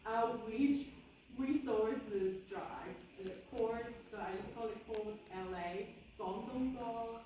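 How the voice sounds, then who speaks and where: A woman from gives a relaxed, chatty classroom talk, often restarting her sentences. Her voice sits a little high for a woman. It is caught from across a very echoey room, with steady background noise. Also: takes it slowly, far from the mic. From Hong Kong. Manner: chatty, with frequent restarts and fillers. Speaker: guest speaker. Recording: echoey room recorded from afar, noisy.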